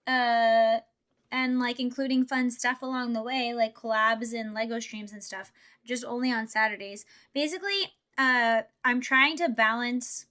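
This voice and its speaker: A woman's voice: fairly high pitch, bright, forceful tone. A young woman streamer chatting casually while building Lego, thinking aloud and answering her chat. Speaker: VTuber streamer.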